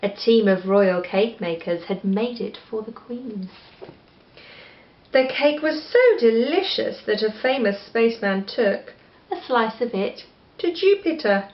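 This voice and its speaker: A woman with an English accent reading a children's story aloud in an expressive style. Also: fairly high pitch, unhurried. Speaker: storybook reader. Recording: echoey room, slightly dull sound.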